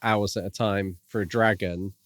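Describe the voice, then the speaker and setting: Lower-voiced man musing in book chat. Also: steady tone, moderate pace, a bit bright, no room sound, clean studio sound. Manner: speculative, musing. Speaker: podcast co-host. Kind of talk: casual book discussion.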